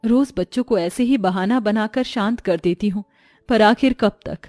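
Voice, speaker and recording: A woman playing a gentle, worried, patient mother and wife in a moral-story cartoon. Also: mid-range voice, normal speed, dry recording, clean studio sound, soft background music, natural voice.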